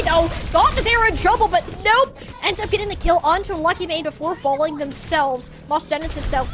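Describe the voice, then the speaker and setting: A woman doing animated live esports play-by-play commentary. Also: very high voice. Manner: animated, exclamatory.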